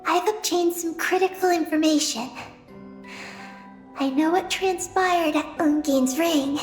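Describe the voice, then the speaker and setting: A woman playing an earnest, determined young girl on a mission in a video game, speaking haltingly and solemnly. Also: very high-pitched, echoey room, soft background music.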